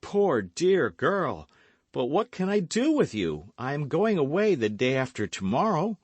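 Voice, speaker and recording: A man voicing an eager, brash young gentleman in an audiobook, speaking with emphatic, commanding and affectionate delivery. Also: on the high side, huge pitch swings, a little slow, no echo, pitched above the performer's own voice, exaggerated voice. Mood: urgent, affectionate. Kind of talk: audiobook character acting.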